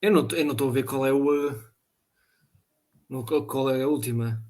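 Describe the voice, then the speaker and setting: A man's voice: mid-range voice, steady tone, normal speed, a little mellow. A young man with a European Portuguese accent, a quiz contestant giving laid-back, hesitant answers. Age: young adult. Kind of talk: casual quiz chat among friends.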